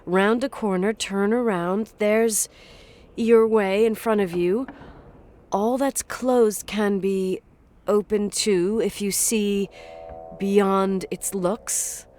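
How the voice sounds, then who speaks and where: A woman's voice: medium pitch, steady tone, takes it slowly, darkish tone, close to an everyday voice. A woman playing a wry, guarded character in a game, speaking in a hesitant, dryly sarcastic, naturalistic conversational way. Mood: recollecting. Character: wry, guarded adult woman recalling her childhood.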